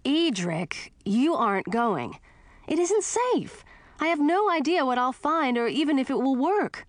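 A woman's voice: a little high, expressive, moderate pace, dry recording, voice pushed up, natural voice. A woman voicing a bold, earnest young princess with magic powers, insistent and forthright, in a storybook reading. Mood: worried, insistent.

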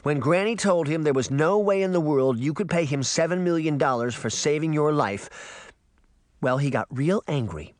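Seven-year-old girl, played by a man, high and animated, earnest, bossy storybook kid. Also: normal speed, no room sound, clean studio sound, natural voice. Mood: apologetic. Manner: scolding, lecturing, earnest. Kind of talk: audiobook character acting.

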